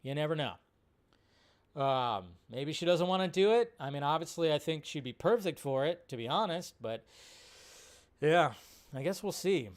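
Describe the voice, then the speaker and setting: A male livestream host with a smooth, clear voice pitched a little on the high side. He chats casually and rambles off the cuff, often repeating a phrase as he goes. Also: expressive, moderate pace, long pauses. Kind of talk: casual live chat, reading and answering viewer questions.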